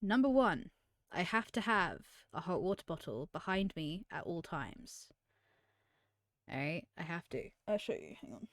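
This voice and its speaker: A woman's voice: lowish voice, very animated, measured pace, no echo, clean studio sound. A woman, chatting candidly to camera as a streamer, often trailing off and restarting. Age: 20s. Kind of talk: casual chat to camera.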